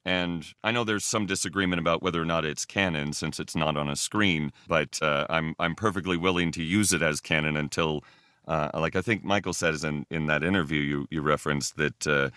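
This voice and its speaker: A man chatting casually as a podcast guest, enthusiastic in a halting, rambling way. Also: rumbling low, pushed and bright, clean tone, dry recording, studio quality.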